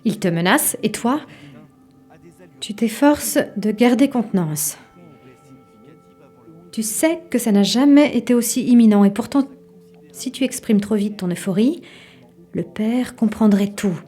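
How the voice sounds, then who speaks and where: A woman's voice: fairly high pitch, expressive, unhurried, pauses often, dark tone, husky, soft background music. A woman performing as an intimate storyteller, narrating an audio drama in a literary second-person style. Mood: suspenseful, intimate. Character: intimate storyteller addressing an anxious housewife.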